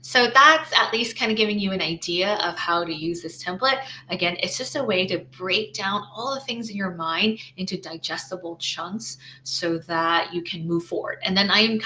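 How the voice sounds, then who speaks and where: A woman's voice: mid-range voice, normal speed, few pauses, pushed and bright, slight background noise, poor audio. A woman running her own small business, walking through her slides in a casual screen-share, thinking aloud with lots of "right?" tags and restarts.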